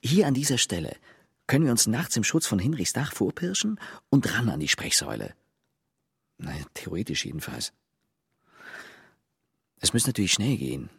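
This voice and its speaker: A man's voice: fairly low pitch, very animated, moderate pace, vocal fry, long pauses, fairly bright tone, slightly rough, no room sound, clean studio sound, full character voice. An actor playing Jo, a young man insistently laying out a secret plan. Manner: insistent, plotting.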